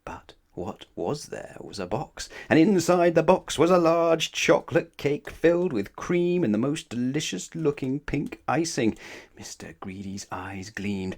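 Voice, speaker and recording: A man reading a children's story aloud as narrator, expressively and with dramatic emphasis. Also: fairly high pitch. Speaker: storybook reader.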